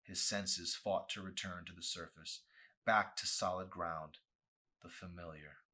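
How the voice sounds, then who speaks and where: A man's voice: sits low, steady tone, measured pace, sharp tone. A man reading a novel aloud as a dramatic audiobook, with an intense, theatrical delivery.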